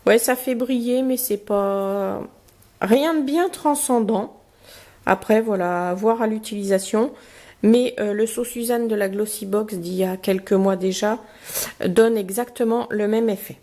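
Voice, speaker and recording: A woman with a cold and a blocked nose, chatting through a beauty box review with many pauses and fillers and reading product cards aloud. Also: mid-range voice, dark tone. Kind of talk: casual unboxing review.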